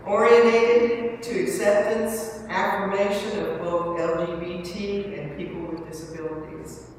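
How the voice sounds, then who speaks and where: A woman giving a halting, measured scripted reading at a memorial service. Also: medium pitch, fairly even intonation. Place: large church hall, indoors. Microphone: far from the speaker. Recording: echoey church hall, distant mic, noisy.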